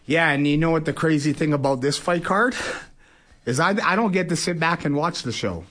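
A man's voice: fairly high pitch, animated, a little fast, fairly bright tone. Casual man in his forties. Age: early forties. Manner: colloquial, frequent "you know". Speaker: boxer and fight promoter, interview guest. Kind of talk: casual radio interview.

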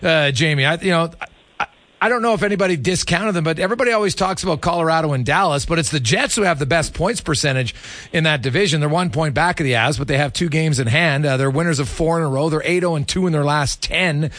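A man's voice: fairly high pitch, fast talker, fairly bright tone, no room sound. A man hosting a sports talk show, chatting casually and asking questions in an informal, buddy-like way. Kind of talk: casual sports chat and interviewing.

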